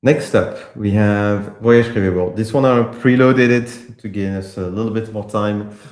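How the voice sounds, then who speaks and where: A man from France with a French accent, casually walking through a live software demo, with many pauses and restarts. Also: fairly low pitch, echoey room. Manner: casual, hesitant with frequent uh and restarts. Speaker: presenter demoing apps. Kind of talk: live tech demo walkthrough.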